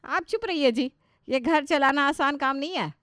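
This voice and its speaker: A woman's voice: high voice, moderate pace, fairly bright tone, dry recording, pitched above the performer's own voice. A woman acts out a bossy Indian mother from a family storybook. She is middle-aged, firm and scolding, and pushes every word with emphasis. The sound is very dry. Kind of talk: animated storybook dialogue.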